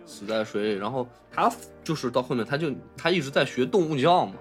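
A man discusses film casually as a podcast co-host. His medium-pitched voice moves up and down in a lively way while he gropes haltingly for words, with music underneath.

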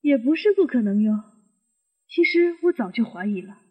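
An actress playing a suspicious middle-aged aunt who questions and reasons step by step in a Chinese audio detective drama. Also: female voice, a little high, sing-song, normal speed, husky. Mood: suspicious, probing. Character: inquisitive, suspicious middle-aged aunt probing a supposed suicide. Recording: muffled, long hall reverb.

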